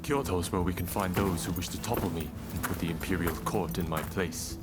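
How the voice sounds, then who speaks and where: Low-voiced imperious shogun played by a man. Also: brisk, natural voice. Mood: stern command. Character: commanding shogun, male, shrewd and imperious. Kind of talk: video game cutscene acting. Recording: loud music under the voice.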